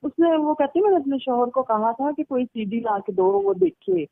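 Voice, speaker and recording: A woman's voice: sits high, animated, moderate pace, vocal fry, no echo. A woman chatting casually over the telephone, telling a personal story in a rambling, repetitive way. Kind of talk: casual phone chat, recounting anecdotes. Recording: muffled, band-limited phone call recording.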